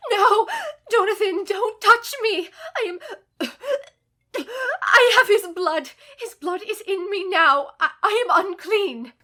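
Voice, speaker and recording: Woman acting a high-voiced, intense young wife. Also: slow pace, sharp tone, exaggerated voice. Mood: anguished. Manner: intense, earnest, emotive. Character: distraught young wife, brave, victim of a vampire. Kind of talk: radio play dramatic acting.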